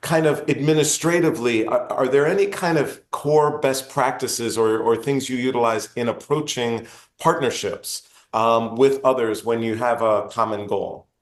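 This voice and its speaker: A man's voice: medium pitch, moderate pace. Casting: male podcast host, earnest, rambling questions, roomy echo on the voice. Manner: earnest, long winding questions. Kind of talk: interview questions.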